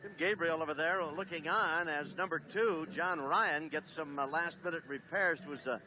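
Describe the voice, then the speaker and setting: A man doing play-by-play on a 1970s television soccer broadcast, briskly reading lineups and standings. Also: fairly high pitch, noisy background. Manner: brisk, reads lineups and stats. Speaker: play-by-play announcer.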